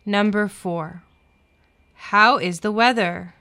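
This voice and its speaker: A woman's voice: mid-range voice, takes it slowly, bright, forceful tone. A woman reading scripted English lesson lines for children, carefully enunciating each phrase.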